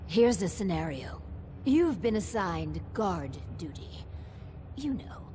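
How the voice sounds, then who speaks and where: An actress playing an officious, coldly pragmatic overseer in a video game, speaking formally and deliberately. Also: female voice, mid-range voice, dark tone, a little hiss or hum. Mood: hesitant, probing. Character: officious, coldly pragmatic female vault overseer, measured and scheming. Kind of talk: video game character dialogue.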